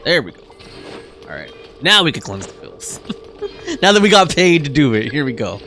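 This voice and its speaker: A man's voice: fairly high pitch, big pitch swings, normal speed, bright, forceful tone. A man giving casual gameplay commentary, muttering informal reactions to himself as he plays. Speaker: game streamer playing and reacting. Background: game music.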